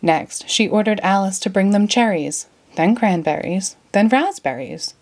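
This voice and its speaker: A woman narrating a children's story as an expressive read-aloud. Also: mid-range voice, unhurried.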